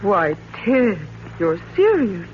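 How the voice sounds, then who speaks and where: An actress playing a wife who can be teasing, evasive or pleading, in 1940s radio thriller style. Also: female voice, medium pitch, sing-song, slow pace. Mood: evasive, indignant. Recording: dull old radio recording with hum.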